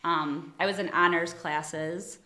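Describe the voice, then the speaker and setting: A woman's voice: fairly low pitch, steady tone, takes it slowly, sharp tone, roomy sound. A woman from Ohio working as an attorney, chatting casually in an interview, friendly and modest, laughing often. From Toledo, Ohio. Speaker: attorney, guest.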